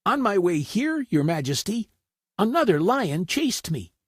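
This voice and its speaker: A man's voice: high voice, very animated, unhurried, dry recording, clean studio sound, pitched above the performer's own voice, exaggerated voice. A man voicing a small, timid but clever rabbit who speaks in a deferential, pleading manner in a fairy tale. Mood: frightened, pleading.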